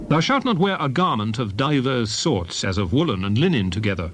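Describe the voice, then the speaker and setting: A man reads old scripture aloud in a solemn, dramatized style. His mid-pitched voice rises and falls widely through measured, declarative phrases. Faint background ambience sits behind the reading. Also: very animated, fairly bright tone, a little hiss or hum. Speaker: Bible reader.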